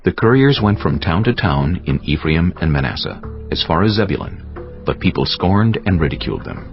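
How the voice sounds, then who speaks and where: An extremely low male voice with a gravelly creak narrates dramatized scripture. His delivery is solemn and measured, yet moves widely in pitch. Music plays clearly beneath the slightly muffled recording. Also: sing-song.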